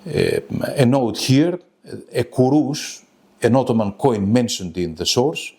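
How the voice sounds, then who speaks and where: Male academic presenter, slow delivery, mid-range pitch, halting and formal. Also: animated, dark tone. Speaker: lecturer presenting a web seminar. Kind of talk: academic lecture.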